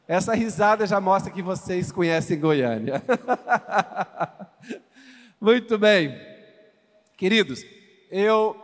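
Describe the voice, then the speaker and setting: A man in his fifties from Brazil with a Brazilian accent, a guest pastor addressing a congregation, exclamatory and asking the crowd questions. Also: high voice. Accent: Brazilian Portuguese, from Goiás. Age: 50s. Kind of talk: sermon introduction to a congregation. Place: church sanctuary. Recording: church hall reverb.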